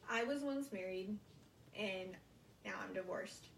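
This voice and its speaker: Student presenter: young American woman, halting short phrases, creaky voice, roomy sound with faint noise. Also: mid-range voice, distant mic. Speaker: college student giving a speech. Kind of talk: prepared informative classroom speech.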